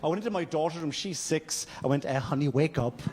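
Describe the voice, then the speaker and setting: High-voiced Irish man doing stand-up. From Wexford, Ireland. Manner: halting, stammered, repetitive delivery. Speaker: stand-up comedian. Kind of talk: stand-up comedy storytelling. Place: comedy stage before a live audience. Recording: live club stage, noisy laughing crowd. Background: audience laughter and crowd noise.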